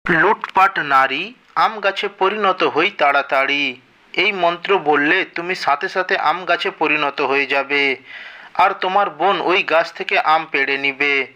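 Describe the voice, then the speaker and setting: Magical helper, male narrator as a gentle, kindly water fairy, high-pitched, reassuring, explaining carefully for a cartoon. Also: a little slow. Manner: reassuring, instructive.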